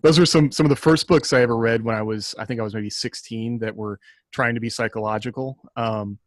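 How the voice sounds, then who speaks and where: A man talking casually on a writers' podcast, halting and self-deprecating as he thinks aloud. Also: medium pitch, steady tone.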